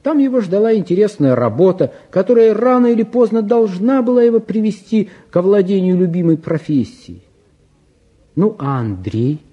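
An actor as a reflective storyteller narrating a 1950s Soviet radio play, speaking to listeners in a measured, confiding way. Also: male voice, high-pitched, husky. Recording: dull, muffled 1950s radio recording.

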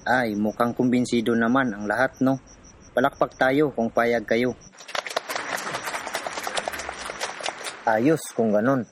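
A man's voice: medium pitch, a little hiss or hum, lightly acted. A man playing an earnest, determined activist from the urban poor, telling his story in a radio drama. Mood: earnest rallying. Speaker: narrator and protagonist. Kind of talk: radio drama narration and dialogue. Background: music.

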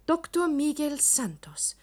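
Earnest young-hearted heroine, expressive intonation. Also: female voice, high-pitched, takes it slowly, heavy background noise, full character voice. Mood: earnest, urgent. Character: earnest, determined daughter of a missing doctor. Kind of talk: 1960s German science fiction radio drama acting.

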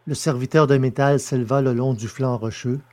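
A man narrates a science-fiction story in a measured, descriptive way. A faint background noise sits under his voice. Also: mid-range voice, fairly even intonation, a little mellow. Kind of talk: scripted narration.

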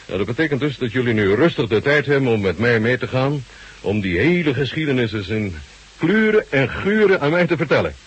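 An actor playing a jovial, shrewd Soviet marshal in a radio drama, with a husky voice and a bantering, courteous manner. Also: male voice, medium pitch, big pitch swings, brisk, dull audio. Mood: calm, explaining.

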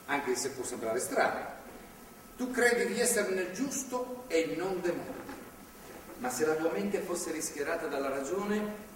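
An actor playing a stern, paternal anti-abortion zealot in a stage drama, speaking in an earnest, insistent, moralizing way. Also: male voice, high-pitched. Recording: echoey hall from far off, clearly noisy.

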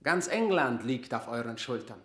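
A man's voice: medium pitch, animated, moderate pace, fairly bright tone, echoey room, lightly acted. A man playing a proud, mocking courtier in a classical radio drama, speaking in formal, haughty declamation.

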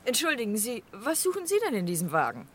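An actress playing a proud, composed and imperious doctor's wife in a vintage German radio crime drama. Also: female voice, a little high, huge pitch swings, fast talker, breathy edge, heavily acted. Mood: indignant. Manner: imperious, controlled, direct.

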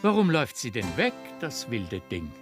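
A man's voice: medium pitch, wildly swooping pitch, moderate pace, faint music behind. An actor playing an effusive, opinionated country baron in his fifties in a German radio play, courtly and blustering. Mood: blustering, genial. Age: 50s.

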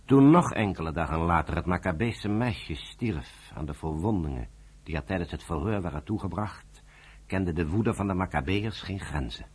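A man's voice: deep voice, animated, normal speed, darkish tone, breathy edge. A man narrating a radio drama from a script.

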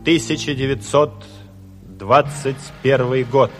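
An actor playing a proud narrator, solemnly and emphatically declaiming verse and announcing scenes in a radio play. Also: male voice, medium pitch, fairly even intonation, slow, close to an everyday voice. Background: music.

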